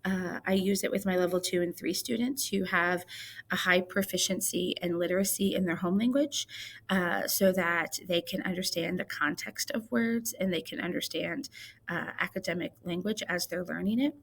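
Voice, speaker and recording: A woman teacher from Missouri walking viewers through a software tutorial in a chatty, explaining way with frequent fillers. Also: on the low side, moderate pace, vocal fry. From Missouri, United States. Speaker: ELL coordinator and teacher. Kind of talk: screen-recorded tutorial.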